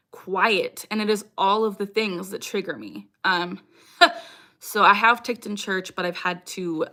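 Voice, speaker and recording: Young female streamer, bright crisp-edged voice at middle pitch, informal and frank Q&A chat punctuated by vocal tics. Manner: sudden repeated vocal tics interjected into talk. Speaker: livestream host, content creator. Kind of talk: casual livestream Q&A chat, answering viewer comments.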